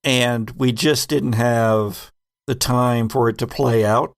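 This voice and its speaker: Man, mid-range voice, dry sound, relaxed conversational chat, game master. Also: mid-range voice, slow, gravelly, no echo. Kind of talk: casual retrospective chat.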